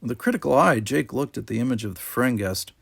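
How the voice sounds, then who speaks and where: Male story reader: husky, mid-pitched, expressive scripted narration in a dry acoustic. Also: fast talker, no echo. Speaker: amateur audiobook reader.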